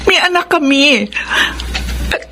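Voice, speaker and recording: A loyal, long-suffering Filipino wife in a radio drama. Her voice is high, with clear noise behind it. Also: animated, slow pace, bright, forceful tone, exaggerated voice. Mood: resigned, sad.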